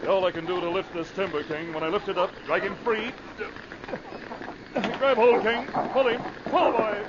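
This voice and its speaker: A man's voice: high-pitched, fairly even intonation, normal speed, lightly acted. A man playing a commanding police sergeant in an old-time radio drama, barking orders with authority. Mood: urgent. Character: commanding male police sergeant of the gold-rush Yukon. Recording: dull, noisy vintage radio recording.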